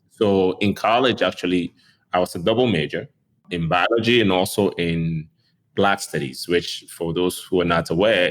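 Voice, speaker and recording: A middle-aged man from Ghana, a neurosurgeon guest talking about his life in an easy, conversational way, with frequent "you know" and soft chuckles. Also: low voice. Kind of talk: relaxed conversational interview.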